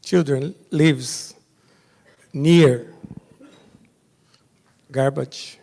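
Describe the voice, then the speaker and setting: Slow-talking Brazilian man in an echoing hall. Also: mid-range voice, fairly even intonation, vocal fry, dark tone. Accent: Brazilian Portuguese. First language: Portuguese. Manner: halting, simple non-native English, short phrases. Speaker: judge giving a conference presentation. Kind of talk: slide presentation at a summit. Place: large hotel conference hall. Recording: long reverb of a big hall.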